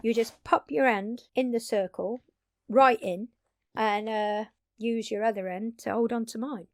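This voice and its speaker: Woman acting a slow-spoken, brash vampire woman. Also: fairly high pitch, no room sound, studio quality. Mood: reassuring. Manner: casual, expressive, sweary, dramatic pauses. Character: brash, excitable undead vampire woman, a magic-savvy fighter. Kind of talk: intimate audio drama roleplay addressed to listener.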